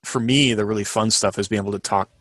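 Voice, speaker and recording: Guy podcast chat, kinda low voice, rambly, thinks out loud, no room echo. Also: steady tone, fast, studio quality. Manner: rambling, hedging, thinking aloud. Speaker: podcast co-host and co-author.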